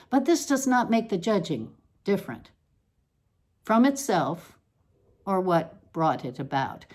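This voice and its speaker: A woman reading a scripted literary essay aloud, in a deliberate, measured manner with emphatic phrasing. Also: on the low side, a little mellow.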